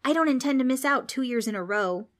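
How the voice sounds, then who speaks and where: A woman puts on a storybook demon, impatient and grumpy. The demon calls out in booming, demanding shouts, pitched well up. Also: animated, fast. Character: gruff, impatient demon banging to get in.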